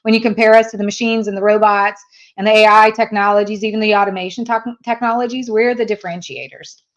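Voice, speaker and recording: A woman's voice: medium pitch, steady tone, fast, muffled recording. A woman in her fifties, a native German speaker, chatting candidly as a sales trainer guest and laughing often. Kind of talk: casual interview chat.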